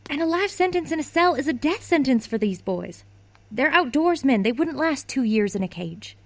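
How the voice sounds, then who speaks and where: A woman's voice: high-pitched, sing-song, normal speed, no echo. A woman playing the sleuth's blunt, plainspoken friend in a radio drama. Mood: earnest.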